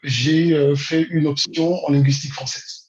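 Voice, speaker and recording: A man speaks as an interview guest. His voice sits fairly high, and he picks his words slowly and hesitantly. The sound is muffled, with clear room echo. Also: steady tone. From Congo-Brazzaville. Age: 40s. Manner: hesitant, measured, with long drawn-out pauses. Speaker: interview guest, immigration consultant and teacher. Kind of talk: conversational interview answers. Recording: dull, roomy, echoing sound.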